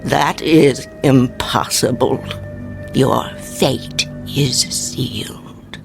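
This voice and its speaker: An actress playing a solemn, cryptic fortune teller in a radio drama, speaking in formal mystical pronouncements. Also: female voice, a bass voice, steady tone, very slow, vocal fry, music in the background, full character voice. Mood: grave, ominous.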